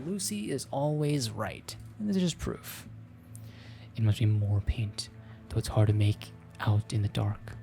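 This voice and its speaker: A man's voice: mid-range voice, gravelly, warm tone. A man reading a visual novel aloud as host, with theatrical narration and joking asides.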